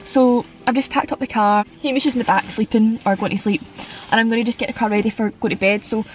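A woman's voice: a little high, fairly even intonation, fast, slight background noise, low-quality recording. A young woman with a Scottish accent, vlogging casually to camera and rambling through stories with lots of fillers. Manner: chatty, rambling storytelling with frequent fillers. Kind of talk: casual vlog chat to camera. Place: outdoors at a campsite in winter, then inside a car. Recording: background music under the voice.

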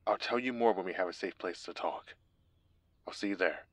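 A man playing a low-voiced, nervous, secretive lawyer. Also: fairly quick, a bit bright, no echo. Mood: urgent, guarded. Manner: urgent, guarded, pleading. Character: nervous, secretive male corporate lawyer, desperate and wary. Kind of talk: audio roleplay acting, one side of a phone call.

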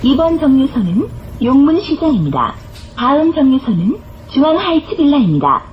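Woman, high voice, animated pitch, scripted bus announcement, formal, careful enunciation, clear bus noise behind. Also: moderate pace, lo-fi sound. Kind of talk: scripted public transit announcement. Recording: clear vehicle noise throughout. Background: bus interior noise.

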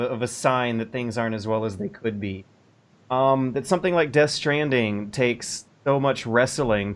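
A man hosting a casual livestream podcast from a home room over a video call, musing aloud with hesitant restarts. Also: fairly low pitch. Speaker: podcast host.

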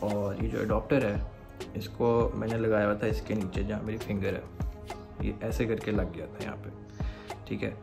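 Low, flat-voiced Pakistani man. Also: moderate pace, gravelly, over music. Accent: Pakistani Urdu. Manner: explanatory, keeps asking "theek hai" to check in. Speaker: motovlogger. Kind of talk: casual gear tutorial.

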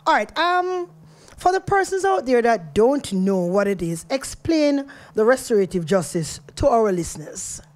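A woman speaks close to a studio microphone as she hosts a radio interview. Her voice is slightly high, and she keeps her guest going with encouraging prompts and affirmations. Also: big pitch swings, a little slow. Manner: encouraging, frequent short affirmations. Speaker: radio show host. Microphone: studio mic up close.

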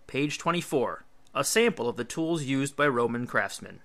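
A man's voice: medium pitch, expressive, normal speed, fairly bright tone. A male teacher reading an illustrated history book aloud, with emphatic, instructional phrasing.

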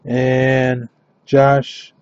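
A man hosting a live trading card break, announcing cards and teams in a steady, matter-of-fact way. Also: medium pitch, extremely slow, clean tone, no echo, muffled recording.

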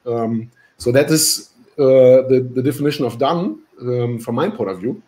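A man's voice: mid-range voice, lively intonation, moderate pace, a little mellow. Man presenting on a video call. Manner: explanatory, many "right?" tags and "um" fillers. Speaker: workshop presenter. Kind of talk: webinar presentation.

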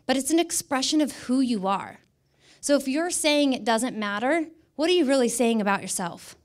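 A woman's voice: sits high, expressive, moderate pace. A middle-aged woman with an American accent speaks as a guest presenter, emphatically repeating words for stress in a motivational talk. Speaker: guest speaker, image consultant. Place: indoor stage in a dark event room. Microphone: handheld microphone.

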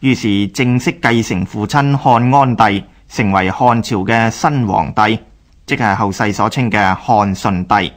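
A man with a Hong Kong Cantonese accent narrates a scripted historical radio drama in a measured, formal storyteller's manner. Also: on the low side.

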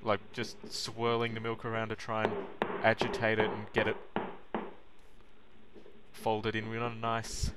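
A man's voice: fairly low pitch, completely flat delivery, measured pace, pauses often. A young man giving an informal, self-deprecating how-to narration. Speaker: vlogger demonstrating how to make coffee. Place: small home kitchen, indoors. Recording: noisy kitchen recording with clicks and clatter.

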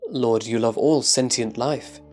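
A man playing a reverent disciple who answers his master in respectful, measured scripture recitation. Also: medium pitch, darkish tone, close to an everyday voice. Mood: reverent, assured.

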